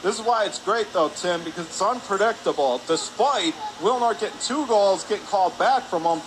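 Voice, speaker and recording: A high-voiced man does live radio play-by-play of a hockey game. His delivery is excitable and climbs whenever there is a shot or save. Clear arena noise runs behind him. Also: normal speed. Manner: excitable, voice rising on shots and saves. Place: indoor ice hockey arena. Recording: noisy rink ambience behind the voice.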